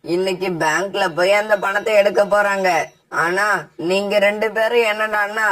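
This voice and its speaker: A scheming crow youngster from a cartoon fairytale, acted by a man. The voice sits high and. The delivery is pushy and scolding, always urging. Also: little pitch movement, moderate pace, fairly bright tone. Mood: reproachful. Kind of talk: animated cartoon fairytale dialogue.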